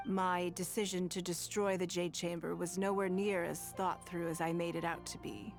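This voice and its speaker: An actress playing a poised, wealthy noblewoman leader in a video game, speaking in measured, formal phrases with private wistfulness. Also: on the low side, level tone, close to an everyday voice. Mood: reflective. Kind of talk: scripted video game dialogue acting. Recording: music behind the voice.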